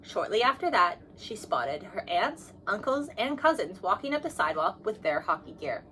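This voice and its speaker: A woman narrates a children's picture book aloud. Her voice sits at an average pitch and she reads expressively. There is noticeable noise behind her. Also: moderate pace, rough recording. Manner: expressive, acts out dialogue. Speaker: storybook reader.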